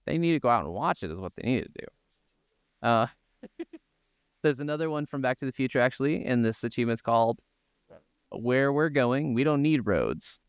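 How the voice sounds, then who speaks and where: A man's voice: medium pitch, lively intonation, moderate pace, long pauses, smooth, dry recording. A man co-hosting a podcast, chatting casually about games with some offhand swearing. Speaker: podcast co-host. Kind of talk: casual gaming podcast chat.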